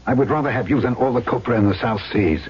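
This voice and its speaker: An actor playing a blunt, hot-tempered South Seas trader in a 1970s radio drama. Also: male voice, medium pitch, fast talker, breathy edge. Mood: tender, urgent. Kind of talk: 1970s radio drama acting with first-person narration. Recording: dull vintage radio recording with music.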